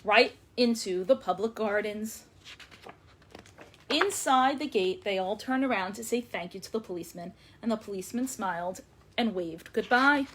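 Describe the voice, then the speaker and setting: A woman reading a story aloud to young children as a librarian, expressive and emphatic, lingering on words. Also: mid-range voice, moderate pace, noisy background.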